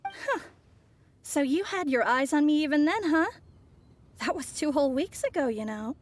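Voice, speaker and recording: An actress voicing a poised, mysterious woman from abroad in a video game, speaking in a smooth and teasing way. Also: sits high, expressive, moderate pace. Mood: sly.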